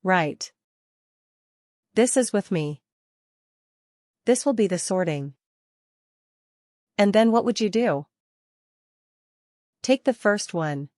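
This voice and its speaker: A woman working as a software trainer, teaching interactively step by step, repeating key points and posing questions to learners. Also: mid-range voice, lively intonation, slow, long pauses, no room sound, clean studio sound.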